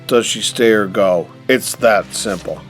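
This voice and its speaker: Pompous wealthy male patriarch, middle-aged, formal and imperious, low voice over music; man acting, audio drama. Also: a little slow, close to an everyday voice. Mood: stern, impatient. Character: stern, pompous, wealthy middle-aged father ruling the group. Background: music behind the voice.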